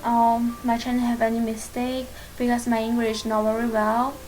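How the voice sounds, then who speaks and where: A woman whose first language is Chinese, speaking English with a Chinese accent, giving a casual spoken lesson as a channel host, halting and searching for words with many fillers but encouraging and reassuring. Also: on the high side, completely flat delivery, a little mellow, soft background music, rough recording.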